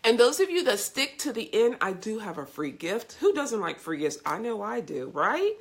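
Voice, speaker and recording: A woman's voice: fairly high pitch, big pitch swings, normal speed. A woman hosting a casual live stream, upbeat and effusive, greeting viewers by name, with a congested, scratchy voice from a cold. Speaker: host of a live video show.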